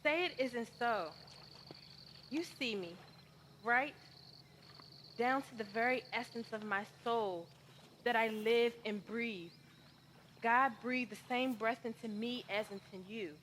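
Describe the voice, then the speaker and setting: A woman acting out a hurt but defiant woman who feels unseen, in a stage-style monologue. Her voice sits a little high and moves very slowly, with deliberate emphasis and pleading pauses. Faint outdoor noise sits behind her. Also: bright, forceful tone, heavily acted. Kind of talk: dramatic spoken monologue performance.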